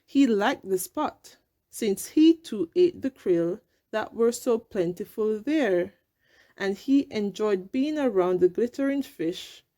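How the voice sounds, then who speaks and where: A woman reads a children's story aloud at a slow pace. Her voice sits at an average pitch, and she tells the tale deliberately and with expression. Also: animated, mellow. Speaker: storybook reader. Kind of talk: children's read-aloud narration.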